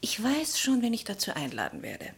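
A woman's voice: mid-range voice, wildly swooping pitch, moderate pace, creaky, breathy edge, full character voice. An actress in a German radio play voicing a meddling, chatty and self-assured old society lady, inquisitive and bossy in a theatrical way. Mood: playfully bossy. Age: older.

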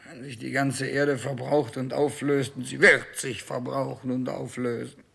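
An actor playing a grandiose, self-obsessed dying king terrified of death, theatrical in a 1960s German radio drama, with a husky, gravelly voice. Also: mid-range voice, flat delivery, pushed and bright, heavily acted. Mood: bitter, fading. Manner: theatrical, slow declaiming, swinging between bombast and faint pleading.

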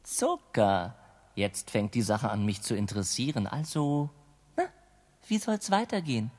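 An actor playing the devil Lucifer in a radio play, needling and ironic, asking mocking questions and co-narrating. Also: male voice, mid-range voice, lively intonation, unhurried, darkish tone, slight background noise, lightly acted. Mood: amused. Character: sardonic male devil Lucifer, mocking and curious. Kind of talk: radio drama acting.

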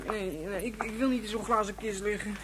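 A woman's voice: mid-range voice, fairly even intonation, fairly quick, background noise, lightly acted. A woman playing an anxious, whiny young boy in a radio play, childlike and given to exaggeration. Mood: frightened, whiny. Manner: childlike, repeats exaggerated intensifiers. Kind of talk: radio drama acting.

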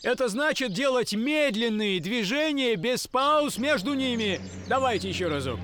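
An actor playing a patient parachute instructor who drills a cadet with drawn-out, didactic commands in a radio drama. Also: male voice, very high voice, lively intonation, a bit bright, a little hiss or hum. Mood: patient, instructive.

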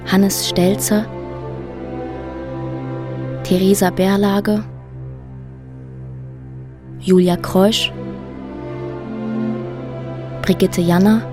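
A woman announcer reading scripted radio drama credits in a measured, plain way. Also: mid-range voice, flat delivery. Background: sad violin music.

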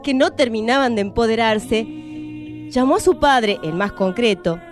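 A woman's voice: fairly high pitch, animated, normal speed, faint music behind. Argentine woman narrating a comic tale.

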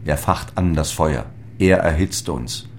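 Very deep-voiced solemn apostle. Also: male voice, a little slow, slight background noise. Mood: fervent. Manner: grave, incantatory, declamatory. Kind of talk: literary radio drama monologue.

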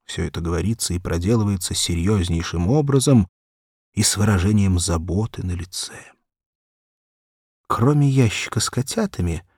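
A man's voice: fairly low pitch, gravelly, dark tone, husky, dry recording, clean studio sound. A man reading a children's story aloud as an audiobook narrator, in a dramatic, theatrical storytelling manner.

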